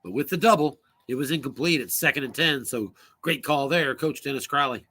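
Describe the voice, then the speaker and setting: A man calling solo play-by-play for a tabletop football game, brisk and rising on big plays, losing his voice and coughing now and then. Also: medium pitch, sharp tone.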